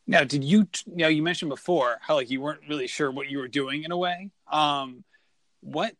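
A man's voice: fairly high pitch, normal speed, fairly bright tone, no echo. A man hosting a podcast, chatting casually and rambling with many fillers as he asks questions. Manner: rambling, lots of "like", enthusiastic. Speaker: podcast host. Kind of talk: casual interview chat.

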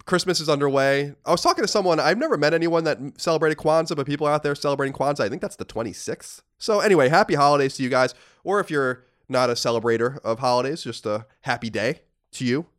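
Rapid-talking stuffy man with a cold. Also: on the high side, lively intonation, fairly bright tone. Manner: rapid, reads listener letters aloud. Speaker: podcast co-host. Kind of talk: casual podcast chat.

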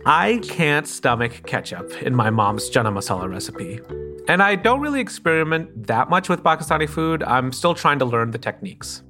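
A man reporting and narrating a personal story for a podcast, in a calm, thoughtful, plainly spoken way. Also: mid-range voice, moderate pace. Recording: music clearly under the voice.

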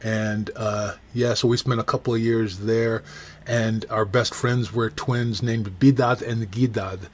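A middle-aged man with an American accent, an interview guest telling stories in a casual, halting, self-deprecating way. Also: fairly low pitch, flat delivery. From United States. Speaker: interview guest, actor and theater professor. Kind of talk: casual conversational storytelling.

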